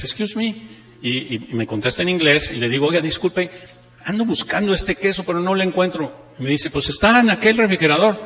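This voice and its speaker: A man with a northern Mexican accent speaks as a seminar trainer in a reverberant hall. His voice sits fairly high, and he drives points home with rhetorical questions and emphatic repetition. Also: a bit bright. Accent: northern Mexican Spanish. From Monterrey, Mexico. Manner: rhetorical questions, repeats key phrases with emphasis. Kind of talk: motivational seminar talk with anecdotes. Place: seminar room, speaking to an audience. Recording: echoey hall with long reverb.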